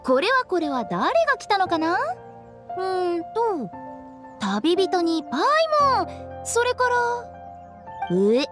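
A woman's voice: very high voice, sing-song, slow, pauses often, full character voice. A woman playing a playful, shrewd young funeral director in an anime game, with teasing, sing-song, probing delivery. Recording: background music under voice.

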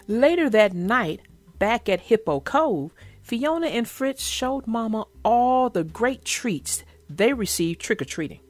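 Expressive, high-voiced woman storyteller. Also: takes it slowly, dry recording. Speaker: children's book narrator and host. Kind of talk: expressive read-aloud and chatty commentary. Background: music.

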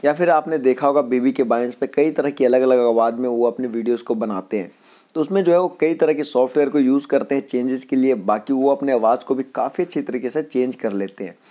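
A man's voice: medium pitch, brisk, warm tone. A man with an Indian accent hosting a casual voice-over app tutorial. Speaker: host of a tech tutorial channel.

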